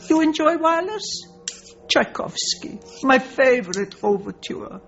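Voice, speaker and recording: Radio drama villainess: Russian spymistress, mock-courteous and menacing, creaky voice that swoops widely. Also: female voice, a little high. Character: ruthless older Soviet woman spy posing as a genteel countess. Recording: dull broadcast sound with loud music.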